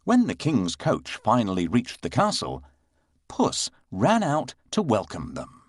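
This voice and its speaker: A man narrating a children's bedtime story in an expressive storytelling manner. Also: medium pitch, a little slow, dry recording, clean studio sound.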